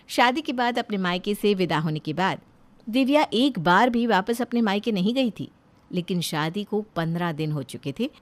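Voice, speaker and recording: A woman reading scripted narration as the storyteller of a cartoon family tale. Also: mid-range voice.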